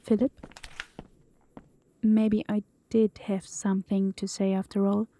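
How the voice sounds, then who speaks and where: A woman playing a caring, gentle young female group leader in an audio drama, speaking tactfully. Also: medium pitch, level tone, takes it slowly, no room sound. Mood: tentative.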